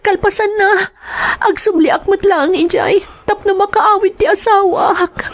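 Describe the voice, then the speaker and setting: Woman acting as anxious young mother, high-pitched, emotional, pleading, tender, audio drama. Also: a little slow. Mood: grieving, worried. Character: anxious, devoted young mother of a sick daughter.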